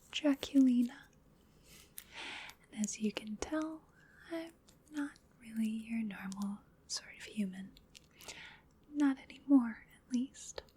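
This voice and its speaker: A woman playing an ancient vampire in an ASMR roleplay, whispering breathily in a slow, genteel, soothing manner with quiet menace. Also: a little high, slightly rough, full character voice. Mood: wistful, sinister. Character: ancient female vampire, sinister yet coaxing and polite.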